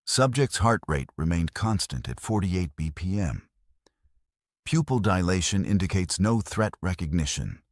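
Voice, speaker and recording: A man gives voice to a cool suit computer that reports its readings in a flat, clinical way. The voice sits extremely deep and sounds husky, dry and close to the mic. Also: unhurried, no room sound, full character voice.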